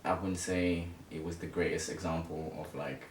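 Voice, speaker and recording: A man in his early twenties from Zimbabwe telling personal stories as an interview guest in a casual, halting, hesitant way. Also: steady tone. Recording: echoey room, distant mic, rumbling noise.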